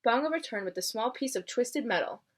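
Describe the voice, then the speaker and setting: A woman teacher giving a read-aloud lesson, narrating a children's novel in an expressive, instructive way. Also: on the high side, fairly quick.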